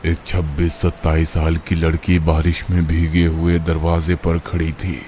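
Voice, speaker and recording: A man's voice: a bass voice, monotone, unhurried, mellow, slight background noise. A man narrating a scripted horror story in a dramatic, suspenseful way.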